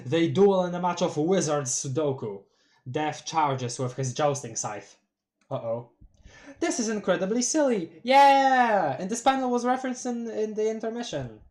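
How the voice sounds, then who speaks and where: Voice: medium pitch, big pitch swings, moderate pace, a little mellow. A streamer from Poland with a light Polish accent and Polish as first language, chatting casually on a live stream while reading a webcomic aloud, giggling often and stumbling over long words.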